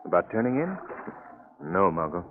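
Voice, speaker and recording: An actor playing a confident, coolly determined gentleman sleuth, decisive and urbane, in a 1940s radio drama. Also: sits low, big pitch swings, moderate pace, slight background noise. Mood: intrigued, calm. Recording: tinny 1940s radio recording, music traces.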